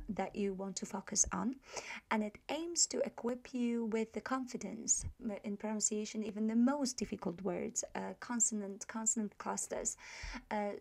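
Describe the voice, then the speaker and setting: A woman with a Polish accent talks through an online language lesson in a middle-pitched voice. She speaks in a hesitant way, full of fillers and restarts, as she explains points over her slides. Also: gravelly, darkish tone. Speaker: language teacher.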